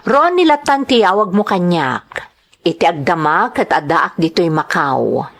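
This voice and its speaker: A woman radio drama host with a Filipino accent and a medium-pitched voice. She delivers scripted greetings and reads a letter as narrator.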